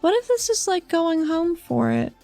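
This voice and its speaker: A woman's voice: very high voice, sing-song, moderate pace, dry recording. A woman playing a tabletop role-playing game, talking casually and partly in character. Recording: faint music under a dry voice. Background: soft background music.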